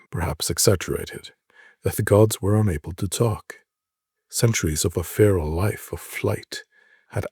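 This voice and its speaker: Male narrator, low and very husky, solemn and deliberate literary storytelling, dry close sound. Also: darkish tone, dry recording. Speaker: narrator reading short stories. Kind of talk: dramatic literary reading.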